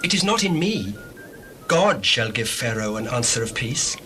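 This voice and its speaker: An actor playing an earnest young Hebrew dream interpreter in a dramatized scripture reading, solemn and declamatory. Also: male voice, medium pitch, sing-song, moderate pace, pushed and bright, soft background music. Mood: earnest, prophetic.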